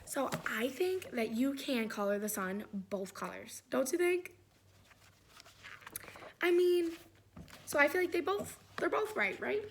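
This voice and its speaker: A woman's voice: high-pitched, expressive, a little slow, pauses often, background noise. A woman reading a picture book aloud, chatting encouragingly and putting questions to young listeners. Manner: encouraging, often checks in with "right?".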